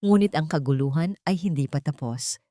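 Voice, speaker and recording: A woman's voice: sits low, a little fast, warm tone, no echo, studio quality. A woman narrator reading a scripted story in a dramatic style.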